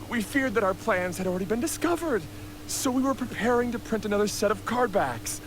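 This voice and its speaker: A man's voice: sits high, animated, fairly quick, background noise. An actor playing a nervous, contrite male bandit explaining himself in a video game. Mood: anxious, explaining.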